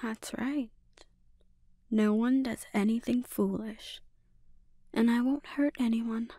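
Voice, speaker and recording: A woman playing a menacing, seductive sorceress and obsessive mother in a breathy, often whispered ASMR roleplay, speaking deliberately and with quiet threat. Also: fairly high pitch, steady tone, no echo, studio quality. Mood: coolly threatening.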